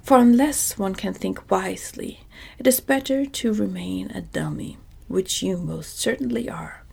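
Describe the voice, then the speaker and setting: A woman's voice: medium pitch, a little slow, gravelly, husky, heavily acted. Scarecrow, a fussy straw king, as a whispering woman puts him on. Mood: lecturing. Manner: soft whispered reading.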